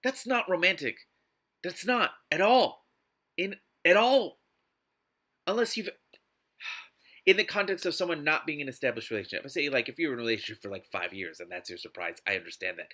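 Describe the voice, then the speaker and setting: Male reader-commentator, forceful bright tone, exasperated and emphatic delivery. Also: on the high side, lively intonation, brisk, pauses often. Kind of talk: scripted reading broken by indignant commentary.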